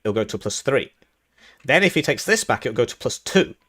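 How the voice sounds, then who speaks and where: A man's voice: medium pitch, big pitch swings, a little fast, bright, forceful tone, husky. A young man hosting a live game tournament stream, talking casually with jokes and chuckles while commentating the match. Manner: rambling, joking, chuckling between remarks. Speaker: tournament host and caster.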